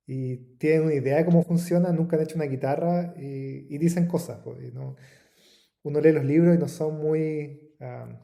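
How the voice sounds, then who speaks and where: A man speaks casually over a video call, relaxed and informal, dropping in English words. His voice is very dark and mellow, with a gravelly edge. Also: fairly high pitch. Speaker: guest speaker, physicist. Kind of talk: casual talk to an online audience.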